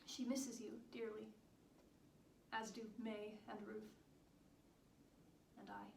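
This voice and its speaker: A woman plays a devoted, proud country wife in a period stage monologue. The voice is fairly high, with earnest, formal, old-fashioned diction. It is picked up from a distance in a reverberant room, with a faint layer of noise behind it. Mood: tender, burdened. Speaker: stage actress performing monologues. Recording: echoey room, distant mic, background noise.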